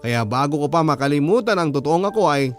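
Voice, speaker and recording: An actor playing an earnest boyfriend narrating his love story in a scripted radio drama, sincere, reflective and measured. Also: fairly high pitch, lively intonation, faint music behind, natural voice. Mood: resigned, earnest.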